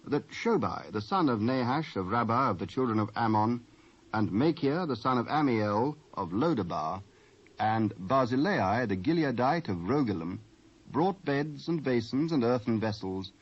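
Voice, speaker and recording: A man's voice: medium pitch, expressive, normal speed, husky. Muffled British male scripture narrator. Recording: muffled cassette transfer with faint noise.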